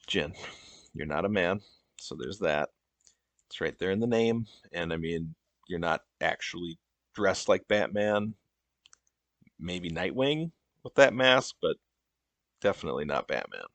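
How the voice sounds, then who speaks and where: Comedy sketch: exasperated male group counselor, played by a man, low register, halting deadpan delivery, patronizing. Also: dry recording, heavily acted. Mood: awkward, deadpan. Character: exasperated, deadpan male therapy group leader for game champions.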